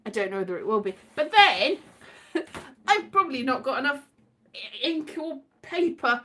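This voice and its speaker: British woman, high voice, very lively intonation, theatrical casual storytelling, rambling and comic. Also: normal speed, slight background noise. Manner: animated, theatrical, acts out exchanges, breaks into sing-song. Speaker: solo host of an audio show.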